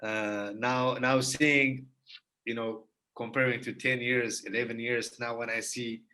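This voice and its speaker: Guy on a podcast, bright voice, earnest, keeps repeating himself for emphasis. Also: medium pitch, little pitch movement, measured pace, low-quality recording. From Iraq. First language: Kurdish. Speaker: guest. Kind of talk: heartfelt conversation.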